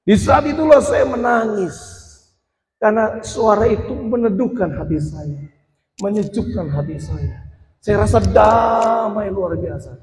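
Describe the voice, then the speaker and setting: A man in his forties delivers a sermon testimony in a slightly raised register. He talks straight to the congregation and swells into loud, emphatic stretches. The church hall rings with a long, echoing reverb. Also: high voice, lively intonation, a little slow. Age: 40s. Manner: addresses congregation directly, rises to emphatic loud passages. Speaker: preacher giving testimony.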